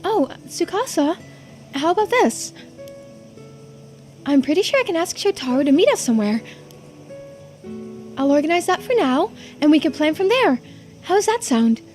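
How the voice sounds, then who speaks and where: Casting: woman playing self-deprecating young bookworm in a game, high expressive voice, apologetic, helpful, music behind. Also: moderate pace, pauses often. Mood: eager. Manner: apologetic, eager to help. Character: earnest, self-deprecating, bookish young friend. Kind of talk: video game character voice-over.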